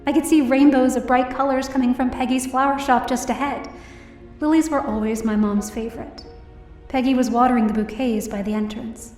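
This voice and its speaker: High-voiced actress as a downcast young woman, roomy sound. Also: steady tone, brisk, darkish tone, lightly acted. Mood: wistful, hopeful. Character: anxious, downcast young woman, daughter of a hospitalized mother. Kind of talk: first-person audio drama narration. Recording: roomy, distant-sounding voice over music.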